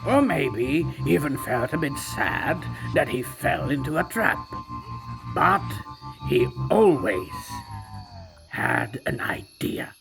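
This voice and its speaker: A man's voice: fairly high pitch, wildly swooping pitch, takes it slowly, vocal fry. A man narrating a storybook as a proud, magical ancient male tiger, in a theatrical, self-satisfied way. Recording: narration over loud music.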